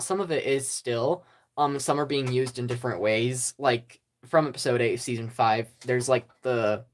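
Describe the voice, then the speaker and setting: A YouTube host in a casual, rambling channel update, hesitant and self-deprecating, with many ums and small laughs. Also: mid-range voice. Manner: self-deprecating about own past work. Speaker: stop-motion channel host.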